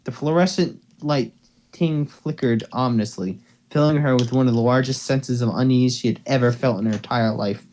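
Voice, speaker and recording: A man's voice: medium pitch, moderate pace, darkish tone, lo-fi sound. A young man giving a casual amateur reading of a story, playful and stumbling, with offhand asides. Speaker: reader. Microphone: headset or desktop mic on voice call.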